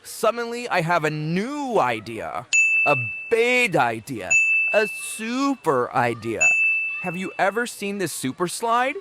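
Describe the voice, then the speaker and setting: Woman voicing an anxious, animated little cartoon bean. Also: fairly low pitch, slow, very noisy. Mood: excited, determined. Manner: theatrical, hesitant, exaggerated. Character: anxious, eager young cartoon bean working up courage. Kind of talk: animated children's read-aloud.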